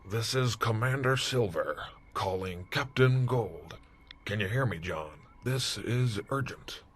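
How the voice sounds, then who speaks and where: A man playing a blunt, callous military commander with a husky voice and clipped manner in a retro sci-fi radio drama. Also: on the low side, lively intonation, slow, noisy background. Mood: urgent. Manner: clipped, military, matter-of-fact. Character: blunt, callous male space-force commander.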